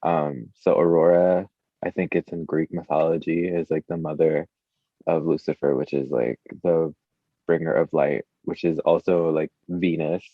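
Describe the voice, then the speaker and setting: A man's voice: very deep voice, fairly even intonation, normal speed, no echo, narrow, tinny audio. A man chatting casually as an interview guest, a musician with an informal, slangy, hesitant way of talking, saying "like" constantly. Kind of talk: casual chat.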